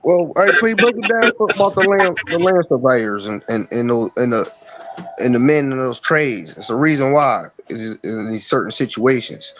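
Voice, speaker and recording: A man's voice: mid-range voice, normal speed, crowd noise. A man bantering casually as a participant in an open panel discussion, recorded in dull lo-fi audio.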